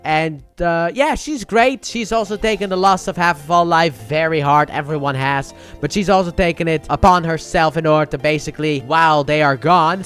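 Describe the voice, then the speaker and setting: A man's voice: a little high, a bit bright. A man giving a casual, unscripted movie review, opinionated and emphatic, repeating phrases for stress. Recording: music playing under the voice. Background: background music.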